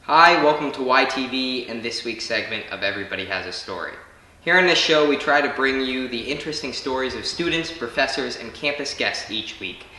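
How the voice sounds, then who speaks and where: Bright-voiced young male host, echoey. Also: mid-range voice, lively intonation, brisk. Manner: upbeat, scripted introductions. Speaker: student show host. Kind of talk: student TV interview hosting. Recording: echoey room.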